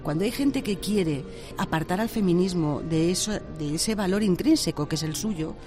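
Earnest woman, mellow warm voice, faint music under it. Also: fairly low pitch, expressive. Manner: earnest, thoughtful, hesitant pauses. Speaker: author interviewed. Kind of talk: reflective interview answers.

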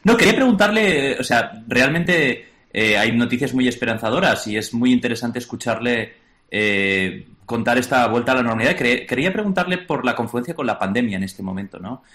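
A man's voice: mid-range voice, lively intonation, fairly quick, fairly bright tone. A man working as a radio correspondent, putting spontaneous questions in a hesitant, roundabout way.

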